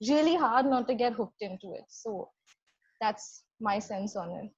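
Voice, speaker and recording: A woman's voice: on the high side, animated, measured pace, breathy edge, no echo. Indian woman podcast guest, casual chat, dry slightly dull muffled mic. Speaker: podcast guest, fiction podcast creator.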